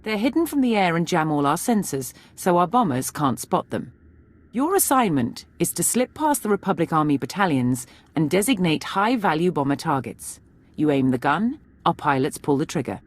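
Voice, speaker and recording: An actress playing a brisk, authoritative military major giving briefings in a video game. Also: female voice, sits low, sing-song. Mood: businesslike. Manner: crisp military briefing delivery.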